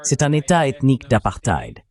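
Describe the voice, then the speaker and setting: A man's voice: medium pitch, huge pitch swings, normal speed, a little mellow. A man delivering political commentary as an analyst, in an emphatic, argumentative way.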